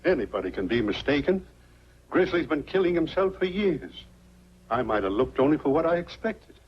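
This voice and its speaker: A weary old town doctor in a 1940s mystery film. The voice is husky and a little high, plainspoken and folksy, on a muffled old soundtrack. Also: male voice, very animated, normal speed. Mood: regretful. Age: older. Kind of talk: 1940s film noir acting.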